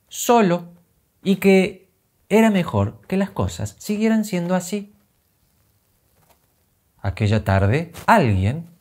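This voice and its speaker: A man in his thirties with an Argentine accent, telling a children's story expressively, with dramatic pauses and simple, deliberate phrasing. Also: medium pitch, a little mellow. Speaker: children's storyteller and channel host.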